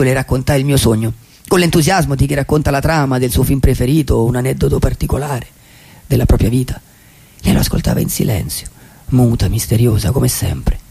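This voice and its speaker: A man performs a lovelorn, wistful vagabond in a radio theatre monologue. His voice is mellow and husky, with a gravelly fry underneath. He speaks in poetic, halting phrases, repeating words for emphasis. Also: medium pitch. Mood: tender, dreamy.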